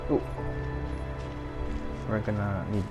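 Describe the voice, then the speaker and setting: A woman's voice: a bass voice, flat delivery, slow pace. A woman giving casual, sparse gameplay commentary in murmured reactions. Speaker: game streamer. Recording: loud game music over commentary.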